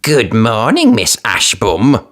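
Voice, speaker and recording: A man's voice: on the low side, wildly swooping pitch, slow, fairly bright tone, exaggerated voice. A man voicing a spiteful young stepsister in a storybook, jeering and drawing out words. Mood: mocking. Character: spiteful, haughty elder stepsister, sneering young woman.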